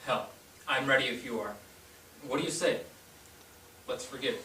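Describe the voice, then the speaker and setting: A man's voice: mid-range voice, moderate pace, sharp tone, a little hiss or hum, natural voice. A man playing a frank confidant who nudges another toward forgiveness in a staged play reading. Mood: coaxing. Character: frank, nudging male confidant urging forgiveness. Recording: echoey stage, distant mic.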